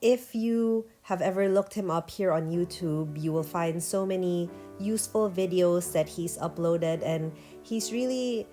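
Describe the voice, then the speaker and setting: A woman's voice: lowish voice, measured pace, hardly any pauses, warm tone, faint music behind. Stuffy-nosed woman narrating her vlog. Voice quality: nasal. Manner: chatty and earnest. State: stuffy nose from allergies. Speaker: vlogger and painter. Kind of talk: casual voiceover narration.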